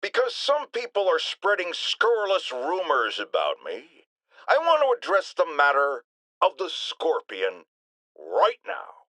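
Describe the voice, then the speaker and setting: A man's voice: on the high side, huge pitch swings, unhurried, sharp tone, dry recording, full character voice, rough recording. An actor playing a blustering, indignant middle-aged man who hosts an opinion radio show in a video game, pompous and theatrical. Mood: defensive. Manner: pompous, theatrical, emphatic delivery. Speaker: talk radio host. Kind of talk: bombastic opinion radio monologue.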